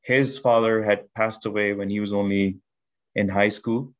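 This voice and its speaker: A man from Pakistan in his thirties, a podcast guest telling his life story in a measured way with many restarts. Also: on the low side, fairly even intonation. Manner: measured, with frequent restarts and fillers. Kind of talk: reflective storytelling interview.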